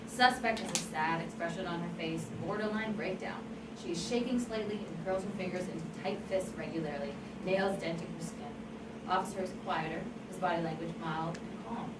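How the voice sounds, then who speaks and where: A woman's voice: lowish voice, fairly quick. Distant woman reading stage directions. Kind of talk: scripted narration at a table read. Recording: very noisy, echoey room from afar.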